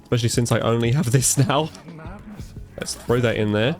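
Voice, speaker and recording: A man giving casual live commentary over gameplay, a bit congested from a cold, chatty and reacting out loud as he plays. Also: mid-range voice, moderate pace, soft background music. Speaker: gaming commentator.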